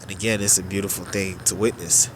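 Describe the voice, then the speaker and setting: A man with a stuffed-up, nasal voice giving unhurried religious commentary, drawing out his phrases with filler pauses. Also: sits low, little pitch movement, noisy background.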